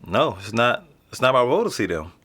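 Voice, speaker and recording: A man's voice: mid-range voice, big pitch swings, brisk, a bit bright, breathy edge. A young man with an American accent playing a casual, slangy, streetwise teenage boy being interviewed in a naturalistic audio drama. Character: nonchalant streetwise teenage boy living in an apartment building.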